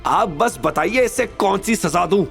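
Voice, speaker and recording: Audio drama henchman, performed by a man: servile bully, strained high voice, very excitable. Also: a little slow, gravelly, faint music behind, exaggerated voice. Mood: grovelling, frightened. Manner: stammering, pleading. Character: grovelling, panicky male henchman who turns bully. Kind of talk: Hindi audio drama acting.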